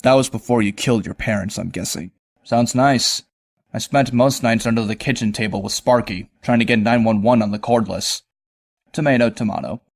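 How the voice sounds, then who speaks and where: A medium-pitched male antihero, acted by a man for a motion comic. He is a sardonic young loner, world-weary and conversational, with a wry edge as he narrates or talks. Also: normal speed, lightly acted. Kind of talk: first-person narration and dialogue, motion comic.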